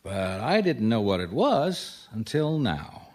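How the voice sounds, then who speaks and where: A man playing a wry, persistent private detective in a radio mystery, talking in measured, dry wisecracks. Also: lowish voice, big pitch swings, a little mellow, full character voice. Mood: dry, probing.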